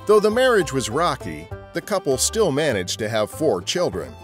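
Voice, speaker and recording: Male podcast narrator. Mid pitch, lively delivery, scripted historical storytelling, faint music. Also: moderate pace.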